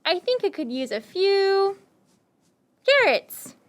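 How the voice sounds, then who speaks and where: A woman voicing a clever, friendly traveling stranger in a children's puppet show, with theatrical, deliberate phrasing. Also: very high-pitched, sing-song, heavily acted. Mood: musing, hinting. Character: friendly, tired, hungry wandering stranger puppet, cleverly coaxing.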